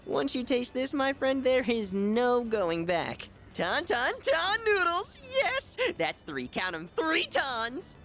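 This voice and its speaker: An actor voicing a gluttonous, self-important high-school boy in a video game, in a bombastic, theatrical manner. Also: big pitch swings, slow pace, few pauses, a bit bright, faint music behind, heavily acted. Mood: excited, showy. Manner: bombastic, dramatic swings between squeals and low asides. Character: gluttonous, pompous, theatrical male high-school student, self-styled gourmet.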